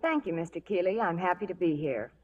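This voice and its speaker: A woman's voice: on the low side, animated, a little fast, highs cut off. A young American woman in scripted 1940s radio promotional chat, poised and polite. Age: young adult. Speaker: actress, interview guest.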